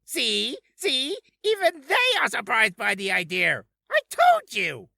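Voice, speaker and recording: A man voicing a bossy, short-tempered ventriloquist's dummy with a loud, scolding manner, for a character reel. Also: very high-pitched, huge pitch swings, slow, gravelly, dry recording, studio quality, heavily acted. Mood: indignant.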